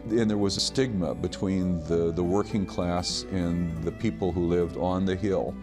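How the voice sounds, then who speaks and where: A man's voice: low-pitched, fairly even intonation, measured pace, music in the background. An American man in his sixties from Washington state, recalling local life in a documentary interview. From Grays Harbor, Washington, United States. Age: 60s.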